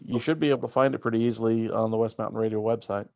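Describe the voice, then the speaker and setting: A man's voice: lowish voice, steady tone, very fast, creaky, darkish tone, dry recording. A man chatting casually in a subdued way, speaking into a phone on a call. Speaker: workshop participant. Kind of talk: casual chat. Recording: slightly dull, dry phone audio.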